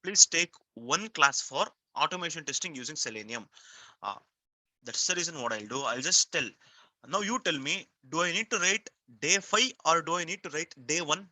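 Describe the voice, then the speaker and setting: A man's voice: on the high side, expressive, moderate pace, bright, forceful tone, no room sound, low-quality recording. A man with an Indian accent teaching a live online class, repeating himself and prompting students with frequent questions in an emphatic, step-by-step way. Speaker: software testing instructor.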